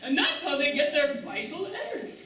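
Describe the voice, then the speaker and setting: A woman's voice: high voice, very animated, a little fast, very noisy. A woman playing an upbeat manta ray schoolteacher in a children's puppet show, enthusiastic and encouraging as she projects to young listeners. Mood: cheerful, encouraging. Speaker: puppet show teacher and presenter. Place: large echoey room with live audience. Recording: echoey hall, distant, audience crowd behind.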